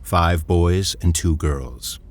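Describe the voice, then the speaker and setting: A man's voice: low-pitched, slow. A man narrating a scripted science-fiction audio drama as its storyteller. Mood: measured.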